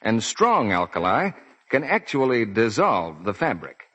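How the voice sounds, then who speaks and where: A man's voice: on the low side, sing-song, measured pace, fairly bright tone, slightly rough. An American man announcing on 1940s radio, giving polished, explanatory sponsor messages. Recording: dull 1940s radio broadcast.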